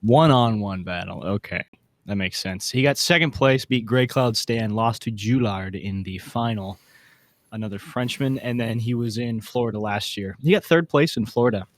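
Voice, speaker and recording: A man's voice: on the low side, moderate pace, no room sound. A man chatting casually as a podcast co-host, informal and slangy. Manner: informal, slangy, says dude. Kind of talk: casual chat. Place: home basement.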